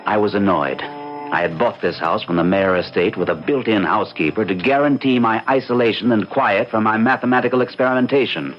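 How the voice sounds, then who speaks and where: A male actor as a brooding, educated man in an old radio mystery. His voice is low, measured and introspective, with an irritable edge. Muffled vintage sound, music behind. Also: a little hiss or hum, close to an everyday voice. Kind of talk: old-time radio drama narration and dialogue.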